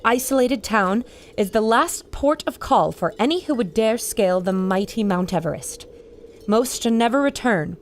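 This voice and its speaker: A woman's voice: a little high, slight background noise. An actress playing a confident, level-headed woman adventurer in an old-time radio serial.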